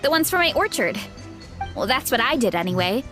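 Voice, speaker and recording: A woman's voice: a little high, big pitch swings, a little fast, a bit bright, faint music behind. A woman voicing a friendly, easygoing young woman who farms and loves food, in a casual video game dialogue style. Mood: friendly, explaining. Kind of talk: animated game character dialogue.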